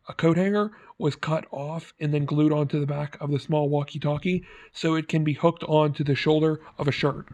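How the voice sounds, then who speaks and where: A man's voice: on the high side, a little mellow. A man narrating a scripted how-to tutorial as its host, in a plain, instructional manner. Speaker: host of prop-making tutorial.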